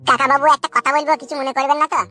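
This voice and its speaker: A man's voice: very high-pitched, little pitch movement, racing, bright, forceful tone, exaggerated voice. A man voicing a polite, aggrieved young village man in a comedy cartoon. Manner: deferential, explanatory.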